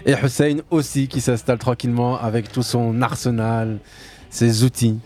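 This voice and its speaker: A man's voice: medium pitch, flat delivery, moderate pace, mellow. A man hosting a radio football talk show, chatting casually and playing with words in his intro. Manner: playful, wordplay-heavy intro patter. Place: radio studio.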